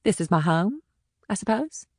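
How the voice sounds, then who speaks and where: A woman's voice: mid-range voice, big pitch swings, normal speed, no echo, clean studio sound. A woman playing a confident, proud and flirtatious innkeeper in audiobook dialogue, with a poised, self-assured manner. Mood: guarded. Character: confident, proud, flirtatious businesswoman running an upscale inn.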